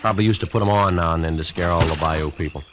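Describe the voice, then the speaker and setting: A man's voice: deep voice, fast, lightly acted. An actor playing a dry, easygoing bayou man who loves fishing, matter-of-fact, in 1940s radio drama style. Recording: noisy vintage radio recording with clicks.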